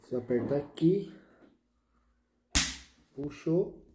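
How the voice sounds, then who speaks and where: Chatty man vlogging, dark echoey voice. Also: on the high side, lively intonation, slow pace, a little hiss or hum. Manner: laid-back, chatty, full of slang fillers. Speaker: motovlogger. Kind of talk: casual slangy show-and-tell vlog. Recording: echoey room.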